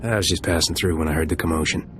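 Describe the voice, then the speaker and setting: An actor voicing a cocky, tough smuggler captain in a video game, brash and dryly threatening. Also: male voice, rumbling low, rapid-fire. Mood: gruff, defiant. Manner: brash, threatening, dry wisecracks.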